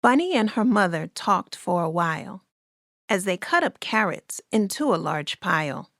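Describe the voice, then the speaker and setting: A woman reading a rhyming children's story aloud in a deliberate, expressive way as its narrator. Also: medium pitch, no echo, studio quality.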